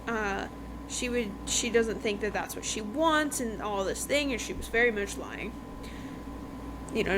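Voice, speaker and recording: A woman host recapping a book in a casual, rambling, informal chat. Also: on the high side. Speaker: podcast host reviewing romance books. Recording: noticeable background noise.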